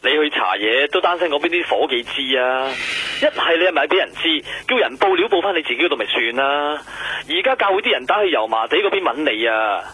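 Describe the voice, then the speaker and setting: An actor playing an exasperated police superior with a husky voice and Hong Kong Cantonese accent, on the phone in a radio drama. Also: male voice, on the high side, animated, brisk, pushed and bright, full character voice, rough recording. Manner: brusque, nagging. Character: exasperated male police superior scolding his subordinate.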